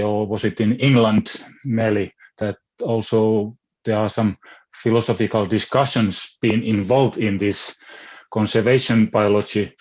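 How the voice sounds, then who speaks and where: A man's voice: on the low side, darkish tone. A man with a Finnish accent, a native Finnish speaker, talking on an online panel in a hesitant way, restarting often as he searches for words. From Finland. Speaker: philosopher on a panel. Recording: dull lo-fi call audio.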